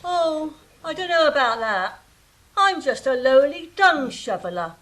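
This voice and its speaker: Castle dung shoveler, woman actor: high, lively swooping voice, coarse and cheeky, comedy audio drama. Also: a little slow, heavily acted, poor audio. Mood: cheeky, blunt. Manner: blunt, earthy colloquial speech. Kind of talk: comic radio-play acting.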